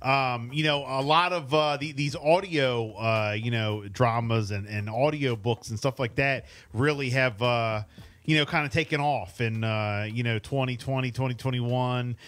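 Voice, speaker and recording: A man's voice: mid-range voice, fairly even intonation, measured pace, fairly bright tone. A man around his forties hosting a casual radio interview, rambling a little hesitantly on a close studio microphone. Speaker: radio host. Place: small indoor radio studio. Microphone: close boom studio microphone with headphones.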